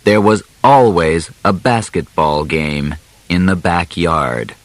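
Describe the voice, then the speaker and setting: A man narrating an audiobook biography from a script, phrasing deliberately in short, separated chunks. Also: deep voice, no echo.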